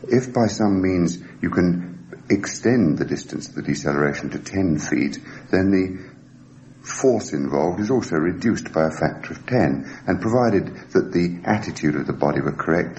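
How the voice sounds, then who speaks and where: A man giving a measured, precise technical explanation in a formal, academic way, as an expert on a radio documentary. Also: rumbling low, a little mellow. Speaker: scientific expert interviewee. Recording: noisy archive radio recording.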